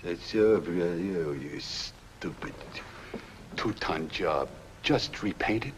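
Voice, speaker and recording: A grumbling, exasperated trucking boss with a low voice. He complains mournfully and sarcastically, in old film character style, with long reverb and a hissy noise around him. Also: slow pace. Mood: aggrieved, mournful. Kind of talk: 1950s film comic character acting.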